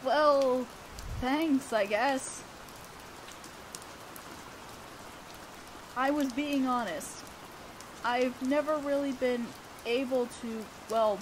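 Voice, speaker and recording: A young woman playing an awkward, earnest teenage student council president in a romantic audio roleplay, speaking in a shy, halting way. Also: on the high side, noisy background, natural voice. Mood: touched, shy.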